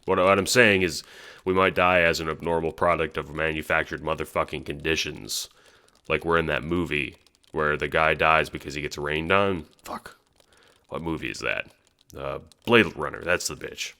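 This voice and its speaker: A man playing a sincere, foul-mouthed slacker teenage boy in an audio drama, talking in a halting, slangy ramble. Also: very deep voice, pushed and bright, exaggerated voice.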